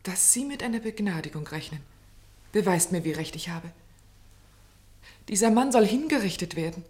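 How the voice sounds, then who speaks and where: An actress in a German radio play voicing an earnest clinic assistant, formal, insistent and reproachful, with clear enunciation. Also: female voice, mid-range voice, expressive, normal speed, a little mellow, lightly acted. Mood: grave, reproachful.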